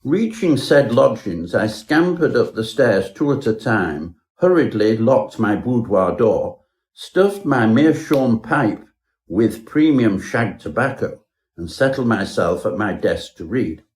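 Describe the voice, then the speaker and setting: A man's voice: mid-range voice, big pitch swings, takes it slowly, darkish tone. A man playing a pompous Edwardian professor, narrating his own parody ghost story in a florid, melodramatic way. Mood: pompous, confiding.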